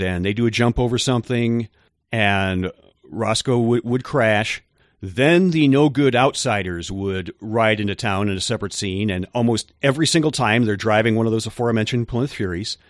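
A man co-hosting a podcast, explaining things in a measured way with occasional hesitant fillers. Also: medium pitch, no room sound, studio quality. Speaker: podcast co-host. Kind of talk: casual chat.

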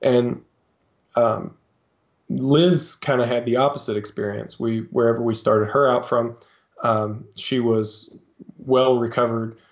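A man's voice: mid-range voice, fairly even intonation, unhurried. A man speaking as a guest coach in a podcast interview, hesitant, with many fillers and restarts. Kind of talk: casual interview talk. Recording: slightly dull lo-fi sound.

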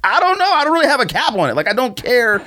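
A man's voice: very high voice, big pitch swings, fast talker, bright, forceful tone. A man hosting a podcast in casual, slangy, conversational talk. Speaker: podcast host. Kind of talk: casual sneaker podcast chat.

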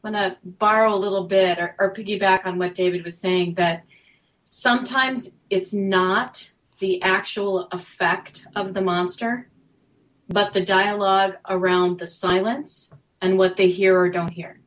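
A woman speaking thoughtfully and in a measured way as a writer on a panel. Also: medium pitch, fairly even intonation, poor audio. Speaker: audio fiction writer, panel guest.

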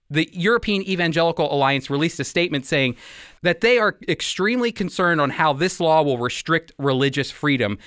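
A man's voice: a little high, a bit bright, smooth, no echo, clean studio sound. A man hosting a religious radio program, speaking in an earnest, exhortative, conversational commentary style.

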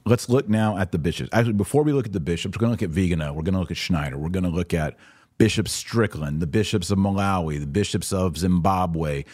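A man's voice: low-pitched, fast, a little mellow. A man hosting a podcast, giving casual commentary in an informal, emphatic, slangy way and repeating key phrases for punch.

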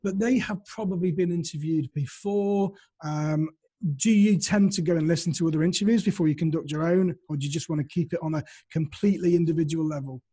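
A man hosts a casual podcast interview. His voice is fairly high for a man, mellow and a bit husky. He puts his questions politely and considerately. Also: a little fast.

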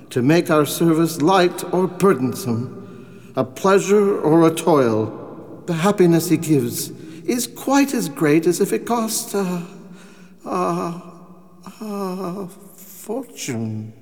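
A man's voice: high-pitched, full character voice. An older man acting in a radio drama as an emotional old miser confronted with his past, exclaiming and pleading. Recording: long reverb, faint music behind.

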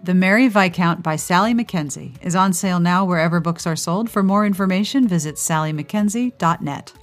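Woman, slightly low pitch, podcast host, chatty scripted delivery, playful and enthusiastic, music in the background. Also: brisk. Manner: playful, enthusiastic, conversational asides.

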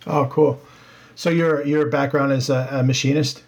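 A man hosting a podcast interview, speaking in a friendly, halting way with many fillers. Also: mid-range voice, steady tone. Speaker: podcast host. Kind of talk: casual interview.

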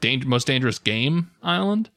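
Young American man, lively swooping pitch, dry close sound, slangy profane banter, jokey podcast co-host. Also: normal speed, dry recording. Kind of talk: casual comedic banter.

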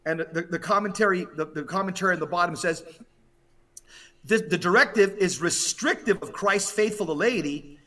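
A man's voice: high-pitched, normal speed, pushed and bright. A man giving impassioned religious exhortation as a podcast commentator, urgent and emphatic, often repeating phrases for weight.

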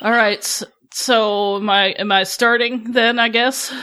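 A woman's voice: a little high, fairly even intonation, unhurried, sharp tone, smooth, low-quality recording. A woman co-hosting a podcast, giggly and self-conscious, chatting casually and narrating amateur fan fiction. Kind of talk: casual chat and amateur story reading.

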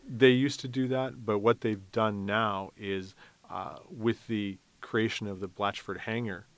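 Low-voiced, chatty man. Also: fairly even intonation, measured pace, dry recording. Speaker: festival organizer, interview guest. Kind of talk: casual interview chat.

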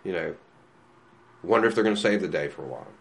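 A man's voice: low-pitched, quick pace. A man who runs a comic shop, chatting casually with many restarts and frequent laughs. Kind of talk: casual chat.

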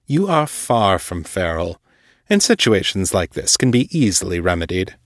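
Guy doing a posh-mannered vampire boss, middle aged, real low voice, formal, smooth chiding, audiobook style, super dry sound. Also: big pitch swings, normal speed, no room sound. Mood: chiding, reassuring. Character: powerful vampire lord in his fifties, urbane, condescending.

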